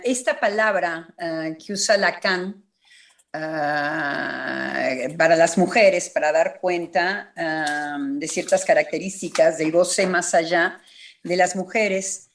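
A woman psychoanalyst speaking reflectively and personally on a panel, halting and repeating phrases for emphasis. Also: lowish voice.

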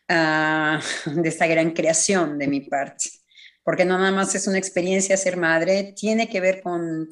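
A woman psychoanalyst speaking reflectively and personally on a panel, halting and repeating phrases for emphasis. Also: fairly low pitch.

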